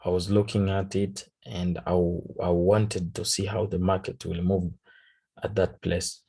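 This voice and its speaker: A man with a Tanzanian accent, a native Swahili speaker, casually talking through a trade recap in halting English, searching for words. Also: deep voice, warm tone. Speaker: forex trader. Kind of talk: casual trading recap over a chart.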